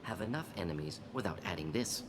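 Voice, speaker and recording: Clipped alien scientist, lively pitch. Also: male voice, quick pace. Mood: dismissive, wary. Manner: clipped, telegraphic phrasing. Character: brisk, analytical male alien scientist. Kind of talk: game character acting. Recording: reverberant hall, clear background noise.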